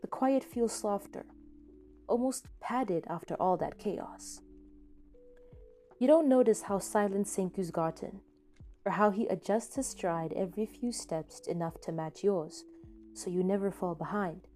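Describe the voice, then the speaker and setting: A woman reads scripted fiction as a podfic narrator. Her voice is mellow and relaxed, sitting at a middle pitch. Faint music plays behind her. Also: measured pace. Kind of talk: scripted story narration in second person. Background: soft background music.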